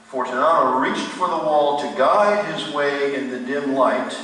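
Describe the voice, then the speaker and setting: An actor playing a grave narrator, reading a macabre tale as scripted drama in a radio play. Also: male voice, medium pitch, unhurried, fairly bright tone, lightly acted. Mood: suspenseful. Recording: echoey hall, far from mic, faint noise.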